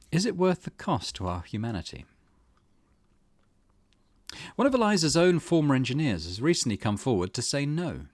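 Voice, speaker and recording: A man's voice: mid-range voice, no echo, clean studio sound. A man hosting a let's play, reading game text aloud and commenting on it in a thoughtful, musing way.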